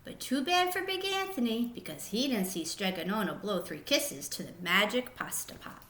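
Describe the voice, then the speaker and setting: Casting: female storybook reader, lively and expressive, higher-pitched, recorded in a roomy echoey space. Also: moderate pace, a little hiss or hum. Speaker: teacher reading a picture book aloud. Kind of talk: expressive children's story narration.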